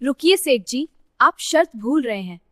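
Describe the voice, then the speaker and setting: A woman's voice: high voice, expressive, a little slow, no echo, clean studio sound. A woman playing a brave, clever young farmer's daughter in a moral story, respectful but determined. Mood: shrewd. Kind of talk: dramatized moral story dialogue.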